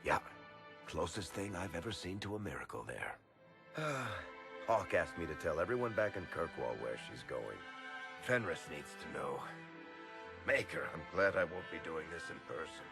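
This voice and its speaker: A very deep-voiced, world-weary storyteller in a video game. He is gravelly and wry, his pitch swinging expressively, with prominent music underneath. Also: very animated, moderate pace. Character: weary, wry male storyteller grieving fallen comrades. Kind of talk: video game dramatic acting. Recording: music behind the voice.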